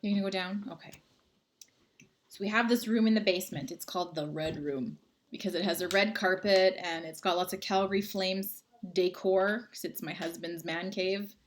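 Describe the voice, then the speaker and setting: A woman's voice: lowish voice, normal speed. A woman, chatting casually with viewers on a livestream from home and reading out their comments. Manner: chatty, friendly, rambling. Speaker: livestream host. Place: home, indoors.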